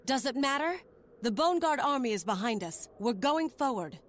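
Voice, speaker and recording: A woman's voice: sits high, animated, moderate pace. An actress playing a determined, vengeful woman adventurer leading an expedition in a video game, terse and resolute. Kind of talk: cinematic video game voice acting, part narration.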